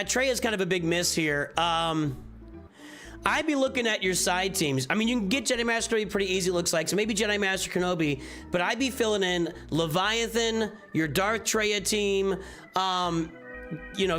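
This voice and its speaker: A man's voice: high voice, normal speed, a bit bright, faint music behind. A man hosting a casual live stream, reviewing game rosters in a rambling, offhand way, often correcting himself mid-sentence.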